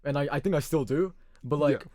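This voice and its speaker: A man's voice: fairly high pitch, fairly quick, a little mellow, no room sound, clean studio sound. A young man with an American-leaning international school accent, a podcast guest chatting casually and hesitantly, restarting his sentences. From Hong Kong. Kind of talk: casual chat.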